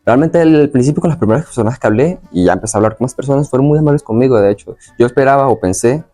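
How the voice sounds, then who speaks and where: A man's voice: medium pitch, lively intonation, very fast, mellow, soft background music. A young man from Venezuela answering as an interview guest, casually recalling his experiences in a slightly hesitant, circling way. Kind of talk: casual personal recollection.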